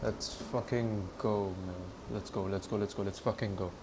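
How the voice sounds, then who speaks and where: A man's voice: fairly low pitch, steady tone, normal speed, noisy background. A young man streaming live, talking casually, repeating himself and swearing now and then. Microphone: headphones with monitoring.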